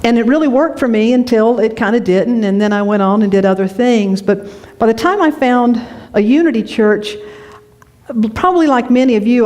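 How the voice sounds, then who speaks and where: A woman from North Carolina, a minister giving a lively, conversational sermon in a church, laughing often as she tells stories. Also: a little high. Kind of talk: sermon with storytelling. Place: church sanctuary.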